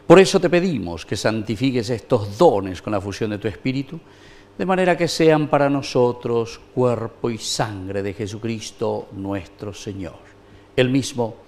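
Solemn priest reciting Mass prayers. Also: male voice, medium pitch, expressive, moderate pace, darkish tone. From Paraguay. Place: small wood-paneled chapel.

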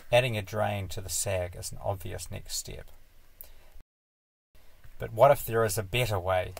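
Calm low-voiced man with gravelly tone. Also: low-quality recording. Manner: calm, matter-of-fact explaining. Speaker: sailing vlogger. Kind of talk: scripted voice-over narration.

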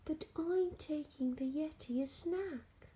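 A woman voicing an eager, excitable young boy adventurer from a children's rhyming story. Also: high-pitched, a little slow, voice pushed up. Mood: apologetic. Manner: exclaiming, polite when apologising.

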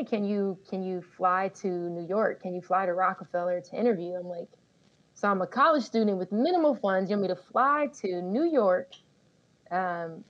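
A woman in her thirties from Colorado, a guest telling her story in a relaxed, self-deprecating way with easy laughs. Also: medium pitch. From Colorado, USA. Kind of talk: casual interview storytelling. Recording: slightly dull lo-fi audio.

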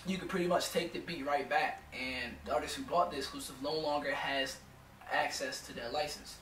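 A hoarse young man in his twenties giving blunt, profane advice to music producers in a casual home studio vlog. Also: on the high side, fairly even intonation, brisk, fairly bright tone, echoey room, recorded from a distance, slight background noise, rough recording. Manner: blunt, swears freely, lots of "you know what I'm saying".

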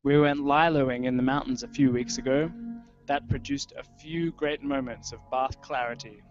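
A man's voice: mid-range voice, fairly even intonation, moderate pace. A young Australian man reading literary personal prose into a handheld microphone. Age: young adult. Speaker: writer or performer reading at a festival. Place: indoor room, live online video stream. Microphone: handheld microphone held close. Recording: dull lo-fi webcast, prominent music behind. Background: music soundscape.

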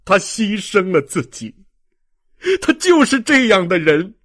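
Man playing an impassioned, high-pitched young gentleman. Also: sing-song, takes it slowly, exaggerated voice. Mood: impassioned, remorseful. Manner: impassioned, declamatory, emphatic. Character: passionate, grateful young gentleman, overwhelmed with emotion.

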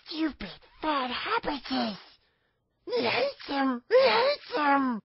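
Spiteful fantasy creature, small and wretched, gravelly, strained, high-pitched, dry. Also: male voice, very animated, slow pace, no room sound, lo-fi sound, exaggerated voice.

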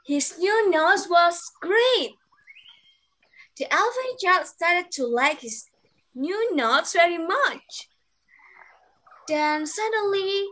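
A woman's voice: very high voice, sharp tone, low-quality recording. A young woman reading scripted story narration over a video call, as a student narrator. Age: young adult.

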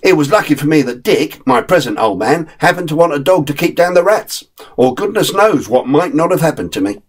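A man's voice: on the high side, very animated, a little fast, husky, pitched above the performer's own voice. A man voicing a friendly, streetwise male terrier who gives blunt, knowing advice.

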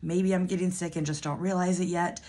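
A woman's voice: fairly low pitch, steady tone, a little fast, dark tone. A woman in her thirties chatting at home in a budgeting vlog, losing her voice, rambling with ums and restarts. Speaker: budgeting vlogger. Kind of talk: casual chat to camera.

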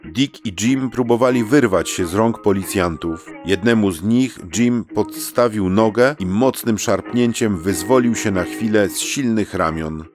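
A male storyteller with a fairly low voice reads scripted storybook narration. Soft plucked guitar music plays faintly behind him. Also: a little slow, hardly any pauses.

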